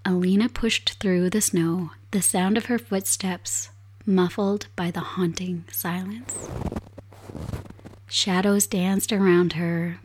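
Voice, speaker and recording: Slow, raspy woman with a cold. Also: on the low side. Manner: soft, unhurried storyteller. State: hoarse from a cold. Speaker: podcast host. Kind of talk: casual chat and storytelling. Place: home desk set up for streaming.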